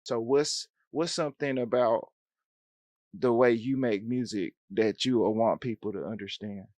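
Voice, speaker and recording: A man hosts a casual music interview in a laid-back, animated way, using slang and laughing quickly. His voice is mid-pitched and the recording is dry and close, with no room sound. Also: no room sound. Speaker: show host, interviewer.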